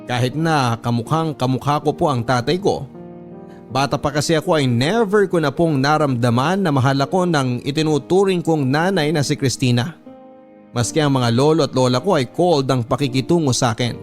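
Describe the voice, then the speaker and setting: A man's voice: mid-range voice, normal speed. A man reading a scripted dramatic first-person story as a radio storyteller, earnest and polite, with music behind. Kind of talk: scripted dramatic narration of a letter.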